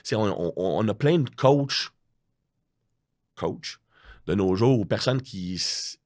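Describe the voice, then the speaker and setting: A man's voice: on the low side, takes it slowly. A man with a Quebec French accent chatting casually as a podcast guest, telling stories in a slangy, self-mocking way. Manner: animated storytelling with slangy asides and self-mockery. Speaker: podcast guest, strength coach.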